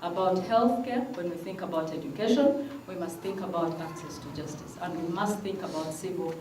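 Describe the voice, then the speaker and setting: A woman's voice: lowish voice, fairly quick. A woman from Uganda, making earnest, insistent remarks from the floor at a conference on legal aid. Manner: earnest, insistent, repeats "I want us to think". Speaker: legal aid program worker, panel participant. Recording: echoey conference hall, mic at a distance, faint noise.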